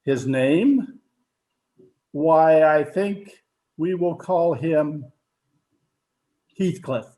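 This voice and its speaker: A man playing a stern but charitable father in an old-time radio drama, with a measured, authoritative manner. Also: a little high, expressive, warm tone. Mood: kindly. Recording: home recording over video call.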